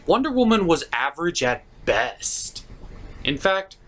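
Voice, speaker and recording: A man's voice: fairly high pitch, big pitch swings, measured pace. A man giving a scripted comedic review as a show's critic, opinionated and sardonic. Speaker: puppet show reviewer.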